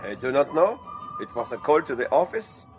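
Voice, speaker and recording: An actor playing a matter-of-fact taxi driver with a German accent in an audio drama. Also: male voice, mid-range voice, lively intonation, normal speed, over music, close to an everyday voice.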